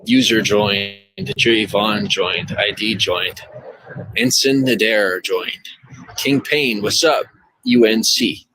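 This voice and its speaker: A man from the USA livestreaming from his phone under a highway bridge, reading out viewer joins and gifts in a running list. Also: lively intonation, takes it slowly, creaky, pushed and bright, no echo, low-quality recording. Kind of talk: live stream chat reading.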